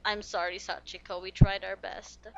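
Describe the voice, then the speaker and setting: A woman's voice: mid-range voice, little pitch movement, normal speed, gravelly, noisy background. A woman game streamer giving casual reactions and reading dialogue aloud as she plays. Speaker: gaming streamer. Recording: slightly dull mic, faint game music.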